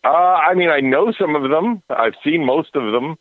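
A man's voice: a little high, lively intonation, normal speed, no room sound. A man in his forties, a radio host guest, chatting casually and cracking self-mocking jokes over the phone. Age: 40s. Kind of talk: casual podcast chat. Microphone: phone line. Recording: tinny phone line, dry.

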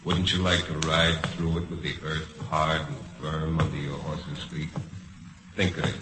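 A haunting voice of memory in vintage radio drama. It is cavernously deep and echoes through audible noise. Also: male voice, flat delivery, normal speed. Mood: coaxing, haunting. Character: haunting male voice of memory, coaxing and taunting. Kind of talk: old-time radio acting.

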